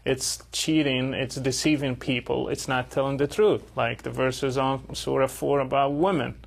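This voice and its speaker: A man whose first language is Arabic, interviewing a guest with probing questions and some halting restarts. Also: medium pitch, steady tone, vocal fry. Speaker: interviewer, host.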